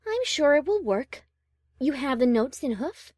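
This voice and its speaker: Refined pony musician, haughty and proper, played by a woman; lively high voice, very dry close recording. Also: measured pace, no room sound. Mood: poised, curious. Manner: formal, cultured wording. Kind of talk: audiobook character acting.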